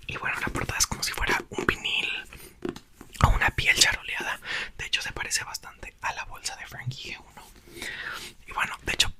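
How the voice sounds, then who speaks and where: A young man, whispering softly into a close mic as he chats about a book for ASMR. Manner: soft whisper throughout. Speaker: ASMR creator. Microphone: small mic clipped to a doll's hair.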